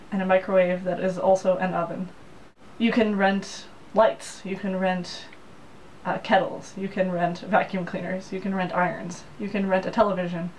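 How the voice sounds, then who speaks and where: Young woman chatting to her camera in a little apartment room, sounds a bit far from the mic, faint hiss behind. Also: mid-range voice. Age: young adult. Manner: plain, practical explaining. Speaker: vlogger. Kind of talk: casual talk to camera. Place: small room in a Japanese apartment, indoors. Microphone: camera mic, head-and-shoulders framing.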